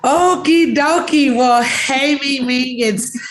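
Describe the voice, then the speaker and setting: A woman's voice: sits high, slow pace. A woman hosting a conversational interview as a pastor, speaking in an effusive, welcoming way. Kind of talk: warm-up introduction and conversational interview.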